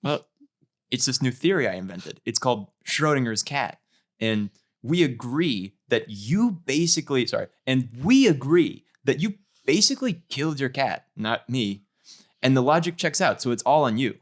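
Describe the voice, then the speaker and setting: A man's voice: mid-range voice, very animated, moderate pace, natural voice. Smug male physicist, played by a man at a table read, pedantic and slippery, comedy sketch. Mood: smug, defensive. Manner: pedantic, self-justifying. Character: smug, evasive male physicist explaining away a dead cat.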